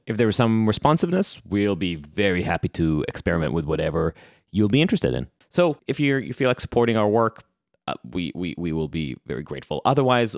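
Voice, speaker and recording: A man's voice: sits low, no room sound. A man with an Israeli accent co-hosting a podcast, talking casually and hesitantly with restarts and dry humor. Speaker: podcast co-host. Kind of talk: casual podcast chat and promo.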